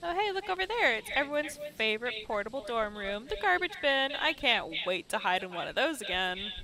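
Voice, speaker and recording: A woman's voice: on the high side, very animated, a little fast, heavy background noise. Woman reading as Ashley, a sarcastic young American woman. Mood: sardonic. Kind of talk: dramatic read-aloud visual novel narration.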